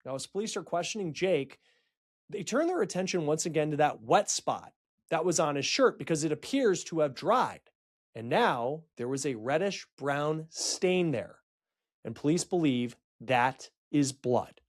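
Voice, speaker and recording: A man hosting a true-crime report, explaining a case in a storytelling news style with dramatic, emphatic pauses. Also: fairly high pitch, lively intonation. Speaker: true-crime show host.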